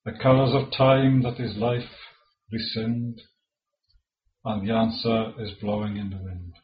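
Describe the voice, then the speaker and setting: Welsh man, native Welsh speaker, poet reading his own verse slowly and deliberately, dull lo-fi sound. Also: fairly low pitch, flat delivery. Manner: slow and measured, with halting asides between poems.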